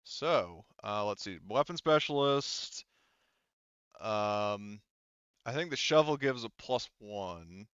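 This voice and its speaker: A man's voice: fairly low pitch, animated, slow pace, a bit bright, clean tone, no echo, dull audio. A man running a tabletop roleplaying game as game master, hesitantly thinking aloud as he works through the rules in a casual way.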